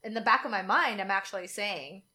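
Bright-voiced chatty young woman. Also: mid-range voice, brisk. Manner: chatty, candid, laughs often. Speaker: podcast co-host. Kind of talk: casual chat.

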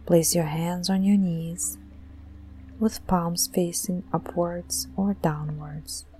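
A woman leading a guided meditation in a gentle, soothing, deliberate manner with long pauses. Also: on the low side, steady tone, soft background music.

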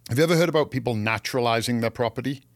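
A man's voice: medium pitch, racing, no echo, studio quality. A man from England co-hosting a gardening show, chatting casually and opinionatedly, telling stories with acted-out quotes.

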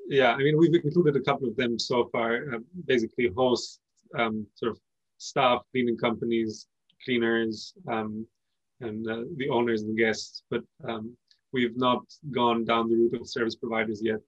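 A man who is a native Persian speaker, answering interview questions as a company founder in a conversational, explanatory way with pauses mid-phrase. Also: mid-range voice, level tone, no echo, highs cut off.

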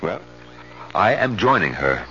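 An actor playing an eager but uneasy middle-aged husband in a radio drama. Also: male voice, sits low, sing-song, a little slow, pushed and bright, soft background music, full character voice. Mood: cheerfully eager. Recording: muffled, noisy 1970s radio recording.

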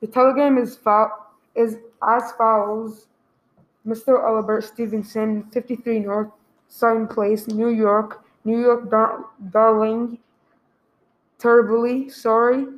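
Businesslike female phone clerk played by a woman, highish voice. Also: level tone, rough recording. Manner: measured, formal reading. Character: businesslike telephone clerk, female, formal and courteous. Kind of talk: radio play acting.

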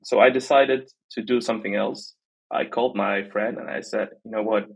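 He is a young man from the Netherlands with a noticeably husky voice that sits on the low side. He talks casually as an interview guest, joking at his own expense. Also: fairly even intonation, moderate pace, highs cut off. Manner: self-deprecating, jokey. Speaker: interview guest, art platform team member. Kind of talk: casual podcast conversation.

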